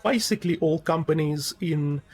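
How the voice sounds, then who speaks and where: A man's voice: on the high side, normal speed, husky, faint music behind. A man giving a rambling, casual game review, with many fillers and emphatic repeats.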